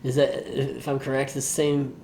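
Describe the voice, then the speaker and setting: A man with a medium-pitched voice co-hosts a movie talk show. He speaks in a casual, opinionated, conversational style, with faint noise in the background. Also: completely flat delivery, normal speed, dark tone. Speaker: podcast co-host.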